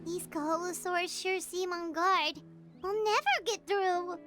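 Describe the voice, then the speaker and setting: A woman voicing a tiny, chirpy fairy companion who talks about herself in the third person, with a squeaky, childlike voice. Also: measured pace. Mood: worried. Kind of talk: game voice acting. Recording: game audio with music under it.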